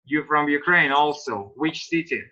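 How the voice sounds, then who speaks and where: Bright-voiced man teaching an online English lesson. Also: a little high, lo-fi sound. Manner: slow, patient questioning of students.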